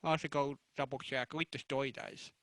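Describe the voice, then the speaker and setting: A man moderating a casual live panel interview, asking halting, rambling questions. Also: medium pitch, steady tone, a bit bright, dry recording.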